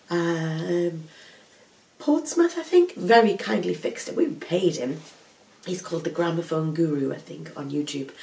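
A woman's voice: on the low side, vocal fry, darkish tone. A woman, stuffed up with a cold, chatting rambly to the camera at home. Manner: rambling, self-correcting, chatty. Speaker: vlogger, full-time mum. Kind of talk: casual chatty vlog to camera. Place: living room at home. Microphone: camera on a tripod at a distance. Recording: mic somewhat far off.

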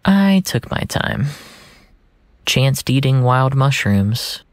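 A narrator reading scripted first-person fiction in a measured, literary storytelling manner. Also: mid-range voice, sing-song, no echo. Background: music.

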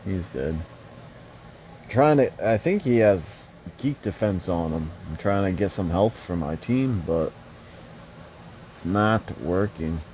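A man's voice: low-pitched, animated, slow, a little mellow, a little hiss or hum. A young man casually commenting on a mobile fighting game, rambling and swearing with joking asides.

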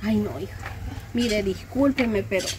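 A woman's voice: medium pitch, unhurried, lightly acted. A woman playing a fretting rural mother. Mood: dismayed. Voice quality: complaining, exasperated, lamenting. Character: fussy rural mother, middle-aged, disapproving, dramatic. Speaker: mother-in-law character. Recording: loud background noise, birds outdoors.

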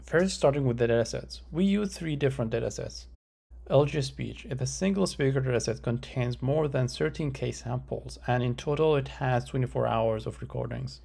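Male research presenter, very creaky gravelly voice, methodical scripted explanatory delivery, mid pitch. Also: normal speed, darkish tone.

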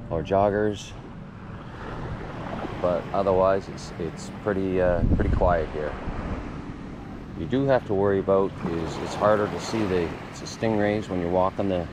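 Male fishing channel host, folksy and halting, unscripted vlog talk, clearly noisy recording. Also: low voice. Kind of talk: casual fishing vlog narration. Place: garage, outdoor beach and shoreline, varied spots.

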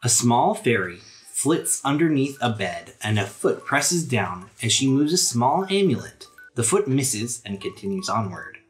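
A man runs a tabletop role-playing podcast as game master. His mid-pitched voice moves between storytelling and casual table talk, with light music underneath. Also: very animated, unhurried, poor audio. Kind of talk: narrating a tabletop role-playing game, mixing scripted scenes and casual banter.

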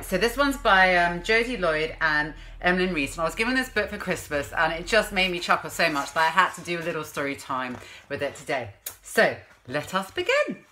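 A woman's voice: fairly low pitch, bright, forceful tone. A woman in her thirties hosting a playful storytime, reading aloud with theatrical, exaggerated emphasis and chatty asides. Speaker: parenting vlogger, host. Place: small room at home.

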